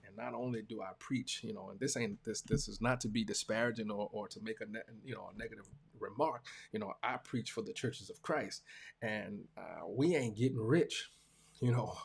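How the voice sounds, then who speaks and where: A man in his forties with a Southern US accent, a preacher talking casually and reflectively to his viewers, halting and earnest, often repeating phrases for emphasis. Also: fairly low pitch, gravelly, breathy edge. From small-town Georgia, US. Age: 40s. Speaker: preacher hosting a talk show.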